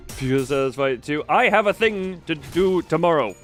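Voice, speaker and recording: A man's voice: a little high, expressive, measured pace. A man doing casual livestream game commentary, thinking aloud in a rambling way and often trailing off mid-sentence. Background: game music.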